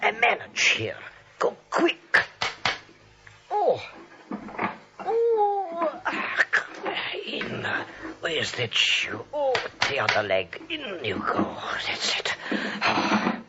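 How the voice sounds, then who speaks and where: An actor playing a nervous, soft-hearted crooked doctor, muttering and fussing in a radio comedy. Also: male voice, high-pitched, wildly swooping pitch, very slow, very noisy, heavily acted. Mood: flustered, furtive. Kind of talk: 1940s radio comedy acting. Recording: muffled old radio recording.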